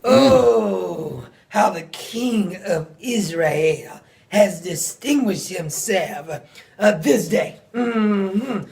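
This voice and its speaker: High-pitched preacher man with a creaky voice. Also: lively intonation, very slow, a little mellow. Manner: folksy, emphatic storytelling with exclamations. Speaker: preacher in a video being watched. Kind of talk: informal Bible study sermon retelling scripture.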